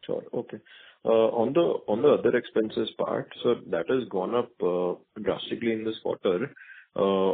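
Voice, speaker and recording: A male analyst asking measured questions on an earnings call, with a few fillers. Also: fairly low pitch, husky. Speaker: analyst caller. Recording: tinny phone line.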